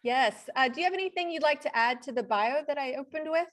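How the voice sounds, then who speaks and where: A woman's voice: sits high, quick pace, a bit bright. Upbeat woman hosting an online interview. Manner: upbeat, reading the guest bio, brief affirmations. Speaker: host. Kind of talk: interview host on an online channel.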